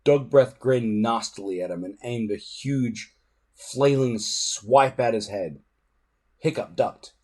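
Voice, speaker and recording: A man narrating a children's adventure book aloud in an expressive, dramatic way. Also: medium pitch, slow, husky. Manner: dramatic, animated. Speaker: storybook reader.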